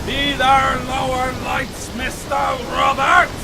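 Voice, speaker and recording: Casting: fierce old buccaneer captain, high-pitched bellow, very drawn-out orders, radio swashbuckler, storm noise. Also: male voice, monotone, creaky, heavily acted. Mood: gruff, commanding. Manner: shouting commands in archaic pirate slang. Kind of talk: swashbuckling radio play acting. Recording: loud storm and sea noise behind.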